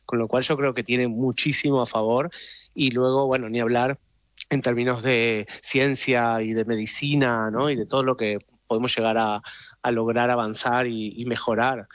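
A man with an Argentine accent answers interview questions in a thoughtful, conversational manner. His voice sits at a middle pitch and explains things step by step, often tagging on a small check for agreement. The sound is somewhat dull and lo-fi, with little room echo. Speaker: audio series creator and director, interview guest.

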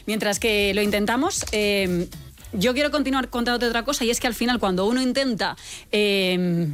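A woman's voice: mid-range voice, moderate pace, faint music behind. Chatty Castilian woman radio host. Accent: Castilian Spanish. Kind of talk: conversational live radio interviewing.

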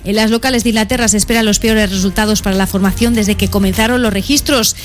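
A woman's voice: mid-range voice, fairly even intonation, fast, faint music behind. A woman reading a scripted report as a foreign news correspondent.

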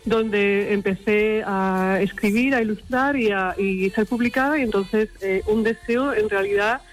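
A woman author and illustrator, interviewed remotely, speaking with many pauses and fillers. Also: medium pitch, soft background music. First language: Spanish. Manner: hesitant, many fillers and restarts. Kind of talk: radio interview by remote line. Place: remote from abroad.

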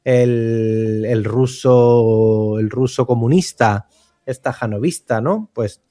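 A man's voice: medium pitch, takes it slowly, dark tone. Spanish-speaking man musing on books. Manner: discursive, many restarts and "eh", rising emphasis. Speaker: host, literature commentator. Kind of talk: casual radio chat about books and film.

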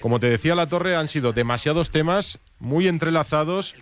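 A male news reporter from the world of sport speaks with a Castilian Spanish accent. His voice sits a little high for a man and is very clean and smooth. He explains things in a measured, formal way, as in a live exchange with an anchor. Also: fairly bright tone.

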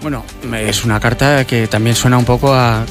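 A man's voice: medium pitch, fairly even intonation, moderate pace, faint music behind. Male radio host presenting news. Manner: conversational, reads news items. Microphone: studio microphone.

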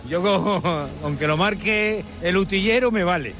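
A man's voice: high voice, normal speed. A man from Granada, a football fan chatting casually and jokingly in a street interview. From Granada, Spain. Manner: joking, colloquial. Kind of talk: casual vox pop chat. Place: outside a stadium.

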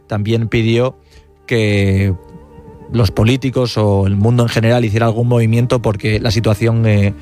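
A man's voice: mid-range voice, a little mellow. Male radio host, conversational, explaining, faint music behind. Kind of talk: radio sports show.

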